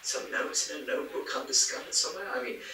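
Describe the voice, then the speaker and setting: An actor playing a chatty, skeptical folklore researcher in a podcast drama, rambling and wry with hesitant stammers. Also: male voice, quick pace, bright, forceful tone, recorded from a distance. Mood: wry, dismissive. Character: chatty, skeptical male folklore researcher musing on old records. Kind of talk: naturalistic interview in a podcast drama. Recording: very echoey room, off-mic, faint noise.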